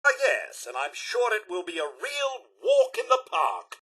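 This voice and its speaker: Swooping, theatrical British robot butler. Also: male voice, high voice, huge pitch swings, measured pace, pushed and bright, slightly rough, heavily acted. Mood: wry, cheerful. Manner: pompous, exaggeratedly polite butler diction. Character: prim, dapper male robot butler, loyal and fussy. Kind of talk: theatrical video game character acting.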